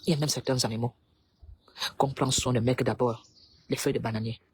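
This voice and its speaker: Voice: medium pitch, fairly even intonation, a little fast, slight background noise. A speaker from Cameroon delivers a preachy, rhetorical political monologue to the public. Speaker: political commentator.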